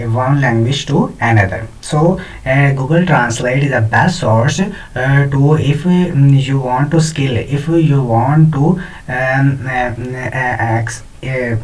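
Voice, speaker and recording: Slow, hesitant man explaining a tutorial. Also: mid-range voice, far from the mic, poor audio. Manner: hesitant, many fillers and restarts, slow. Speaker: tutorial host. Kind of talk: screen-recorded software tutorial.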